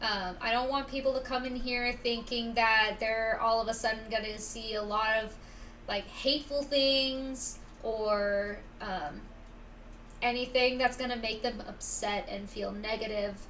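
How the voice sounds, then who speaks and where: Woman, live streamer, casual chat, lightly high pitch, leisurely delivery, slightly noisy distant recording. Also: fairly even intonation, measured pace, fairly bright tone. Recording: distant mic, faint background noise.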